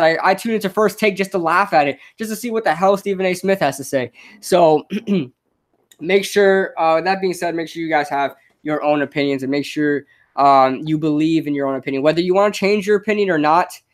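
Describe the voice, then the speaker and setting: A young man, a podcast host with a slight stutter, giving rambling, unscripted advice that is full of quick restarts. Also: a little high. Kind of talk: casual unscripted advice monologue.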